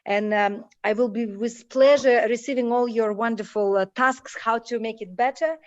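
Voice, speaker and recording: Enthusiastic Kyrgyz-accented woman, fairly high voice. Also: steady tone. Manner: enthusiastic, halting non-native phrasing. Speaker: panelist. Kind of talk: informal panel remarks. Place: online video call. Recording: dull lo-fi video call audio.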